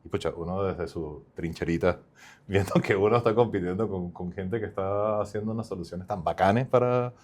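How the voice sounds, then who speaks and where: Male founder guest, fairly low voice, upbeat and talkative, heavy on fillers. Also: normal speed, a little mellow. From not from Chile. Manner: upbeat, explains at length with many fillers. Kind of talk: podcast interview.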